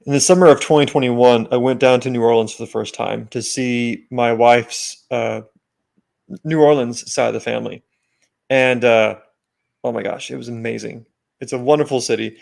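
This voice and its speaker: A man's voice: mid-range voice, fairly even intonation, normal speed, gravelly, darkish tone. A man chatting easygoingly as a guest, enthusing about food. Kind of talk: casual chat.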